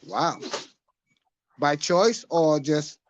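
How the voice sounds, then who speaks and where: A man's voice: fairly high pitch, animated, very slow. Rambling man hosting a live stream. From New York. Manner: rambling, self-interrupting, laughs often. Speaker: host of a live podcast stream. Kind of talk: casual live-stream chat.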